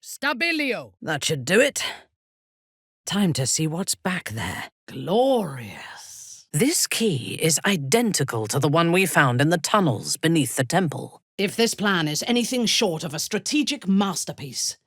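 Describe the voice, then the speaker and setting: A haughty female dark elf warrior from a fantasy video game. She speaks slowly in a husky voice, cold and commanding. Her pitch moves widely as she shifts her weight across each measured, menacing phrase. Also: big pitch swings, no echo, clean studio sound, heavily acted. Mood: fierce spellcasting. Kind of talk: video game character voice acting.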